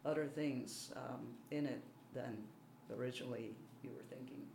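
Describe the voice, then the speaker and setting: A woman's voice: a bass voice, fairly even intonation, moderate pace, vocal fry, warm tone. Hesitant Belgian-accented woman in a roomy space. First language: French, German and Dutch. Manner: self-deprecating, hesitant. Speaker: artist being interviewed. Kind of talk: casual interview talk. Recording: roomy, faint background noise.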